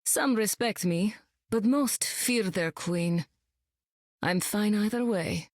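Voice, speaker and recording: An actress playing a young, sardonic demon queen and war captain, deadpan and dry, in a video game. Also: mid-range voice, slow pace, dry recording, close to an everyday voice. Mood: cool, deadpan. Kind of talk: video game character acting.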